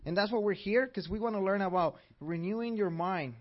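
A man's voice: high voice, fairly quick. Upbeat man with a Mexican accent. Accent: Mexican Spanish. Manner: upbeat, asks the audience questions. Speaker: church class teacher. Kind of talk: interactive church class teaching. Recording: dull lo-fi recording.

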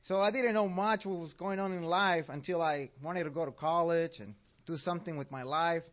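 A man's voice: a little high, steady tone, normal speed. A man from Mexico with a Mexican accent, teaching a church class and asking his audience questions in an upbeat way. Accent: Mexican Spanish. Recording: dull lo-fi recording.

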